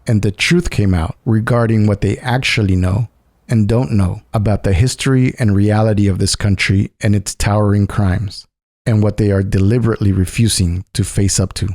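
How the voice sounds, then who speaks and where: A man's voice: lowish voice, fairly even intonation, moderate pace, darkish tone. A man reading a scripted political polemic as narration. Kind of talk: scripted narration, polemical essay read aloud.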